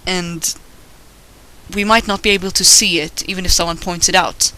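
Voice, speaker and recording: Bright high-voiced Swedish man, rambling. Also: steady tone, moderate pace, a little hiss or hum, low-quality recording. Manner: rambling, self-deprecating, swears casually. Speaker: digital artist narrating his drawing. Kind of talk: casual commentary over a drawing. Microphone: voice-over recorded while drawing.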